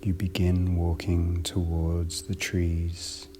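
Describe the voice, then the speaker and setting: A man narrating a guided meditation in a measured, soothing manner with long pauses between short phrases. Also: deep voice, completely flat delivery, music in the background.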